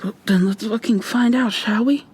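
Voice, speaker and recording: A woman playing a defiant, frightened young human captive in an intimate, hushed, breathy ASMR roleplay, tense and sweary. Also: mid-range voice, moderate pace. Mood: urgent, hopeful. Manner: tense, profane, confrontational.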